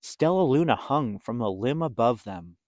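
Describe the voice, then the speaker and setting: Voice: medium pitch, lively intonation, normal speed, darkish tone, no echo, studio quality. A storytime host reading and chatting to young children in simple words, with questions and explanations.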